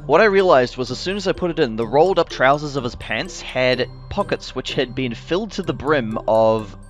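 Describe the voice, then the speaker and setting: A young man telling casual stories as a gaming host, animated and sweary, acting out his reactions. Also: mid-range voice, normal speed. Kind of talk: casual storytelling over gameplay. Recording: music behind the voice. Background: music.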